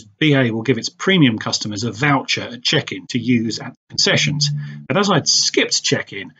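A man's voice: medium pitch, a bit bright, breathy edge, lo-fi sound. A middle-aged British man reading a scripted travel vlog voice-over with dry, wry understatement. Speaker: travel vlogger.

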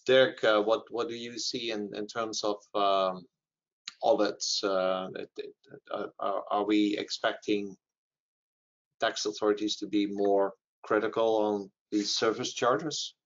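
A man's voice: fairly low pitch, flat delivery, unhurried. A man moderating a webinar, asking unscripted questions in hesitant, piecemeal phrases. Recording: dull lo-fi online audio.

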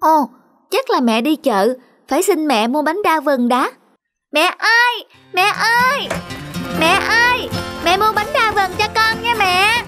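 A woman's voice: very high voice, expressive, unhurried, pushed and bright, soft background music, full character voice. A woman voicing Na, a sweet, trusting little girl in a cartoon, speaking in an earnest, childlike way. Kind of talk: cartoon voice acting.

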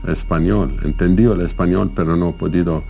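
A man from Lebanon recounting events as an interviewee, in halting, broken non-native Spanish. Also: deep voice, fairly even intonation, vocal fry, mellow, faint music behind.

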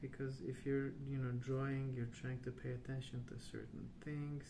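A man speaking softly and hushed in an unhurried, halting ASMR ramble, often trailing off. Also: medium pitch, flat delivery. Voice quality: soft, hushed. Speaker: ASMR video host. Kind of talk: soft-spoken ASMR rambling. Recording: clear background noise.

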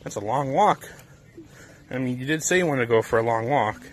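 A man's voice: mid-range voice, lively intonation, brisk. A man in his thirties chatting and joking while hiking a forest trail, filming on a handheld camera. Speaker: vlogger. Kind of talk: casual family hike chat.

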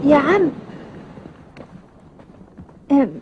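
A woman's voice: high voice, extremely slow, a little mellow, highs cut off, a little hiss or hum. A woman voicing an earnest, eager young boy in a cartoon, speaking courteously and formally. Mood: polite, earnest. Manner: courteous, formal, clearly enunciated. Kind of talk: Arabic cartoon dubbing.

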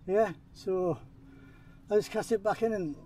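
A man's voice: high-pitched, unhurried, dark tone, slight background noise. An older man, chatting cheerfully to himself and to a camera while fishing outdoors on a riverbank. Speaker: angling vlogger. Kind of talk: casual running commentary while fishing. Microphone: action camera mounted on the bank.

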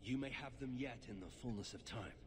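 A man playing a solemn, formal warrior archer in a fantasy video game, speaking in a measured, earnest way. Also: medium pitch, close to an everyday voice. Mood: relieved, grateful. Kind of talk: video game dialogue acting.

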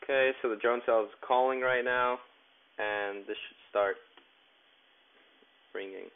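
A man narrating a step-by-step tech tutorial in a plain, instructional way with halting phrasing. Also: medium pitch, steady tone, clear, resonant tone. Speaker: tutorial presenter. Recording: tinny, narrow phone-like sound.

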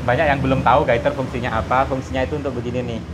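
A man in his thirties, sitting on a porch floor and explaining his hiking gear step by step in a relaxed, casual way with many fillers, recorded on a lapel mic. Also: medium pitch, racing. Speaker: hiking community host showing gear. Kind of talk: casual show-and-tell explanation. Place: outdoor porch by a house, seated on the floor. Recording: noisy street ambience with passing cars.

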